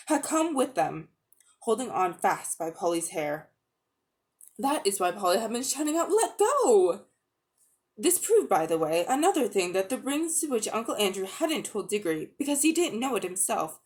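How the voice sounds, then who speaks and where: A woman reading a children's fantasy story aloud as a dramatic narration. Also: mid-range voice. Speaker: audiobook reader.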